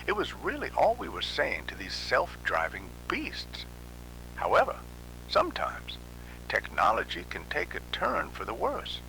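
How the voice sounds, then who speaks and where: A man's voice: medium pitch, wildly swooping pitch, unhurried, vocal fry, sharp tone, slight background noise, full character voice, poor audio. A man playing an ominous, theatrical narrator of a technological nightmare in analog horror. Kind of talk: dramatic scripted horror narration.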